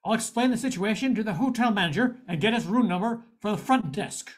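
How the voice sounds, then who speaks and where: A woman's voice: medium pitch, expressive, fairly quick, lightly acted. A woman playing an earnest, resourceful male private eye in an old-time radio detective drama. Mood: determined.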